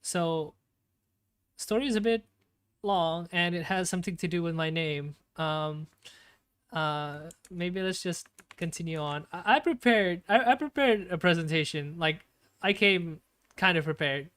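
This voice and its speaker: A man chats on a live stream in a slightly high, smooth, clear voice. He talks slowly and nervously, tripping over his words and filling gaps with ums. His desktop mic sounds dry and close. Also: a bit bright, dry recording. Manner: nervous, stammering, many fillers. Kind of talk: casual live-stream chat. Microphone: desktop streaming mic with noise gate.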